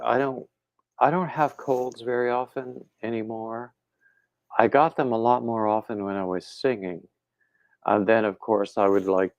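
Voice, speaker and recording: Male stream host, unhurried and rambling, gravelly lower voice, a bit congested, dull home-mic sound. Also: no echo. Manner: rambling, relaxed, reads viewer comments aloud. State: slightly congested throat. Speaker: livestream host. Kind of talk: casual chat with live viewers.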